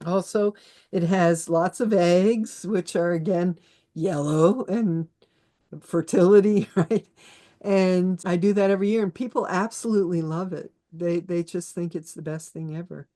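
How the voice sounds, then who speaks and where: A woman guest born in Austria talks through her subject in a loose, conversational way. Her voice sits low and mellow, with frequent restarts and small laughs along the way. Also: unhurried. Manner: chatty, many restarts, laughs while explaining. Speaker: author and herbalist guest. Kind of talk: conversational interview.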